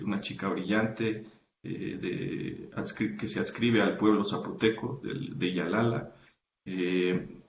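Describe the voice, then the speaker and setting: A man being interviewed as a researcher, explaining his academic work carefully, pausing often with "eh" and correcting himself mid-sentence. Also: fairly low pitch, reverberant, muffled recording, slight background noise. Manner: thoughtful, many hesitations and self-corrections. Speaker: guest researcher.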